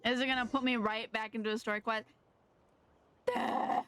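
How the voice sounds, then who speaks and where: High-voiced woman streaming a game. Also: steady tone, moderate pace, fairly bright tone, clean tone. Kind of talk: casual live gameplay commentary.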